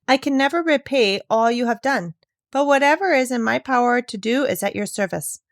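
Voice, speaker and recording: A woman's voice: on the high side, moderate pace, no room sound, studio quality, voice pushed up, natural voice. A woman voicing a grateful, courteous father in a storybook, speaking formally and from the heart. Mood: heartfelt gratitude. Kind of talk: storybook read-aloud.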